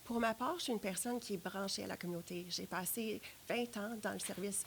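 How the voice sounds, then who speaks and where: Franco-Ontarian woman candidate, measured and earnest, gravelly voice, TV studio. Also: mid-range voice, slight background noise. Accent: Franco-Ontarian French. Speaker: election candidate in a debate. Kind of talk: formal debate statements.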